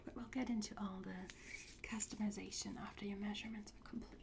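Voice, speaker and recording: A woman whispering softly and breathily in an ASMR roleplay, playing a courteous, instructive fitting attendant. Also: mid-range voice, fairly even intonation, brisk, vocal fry. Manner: soft, polite, instructive. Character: courteous attendant at a time travel suit fitting.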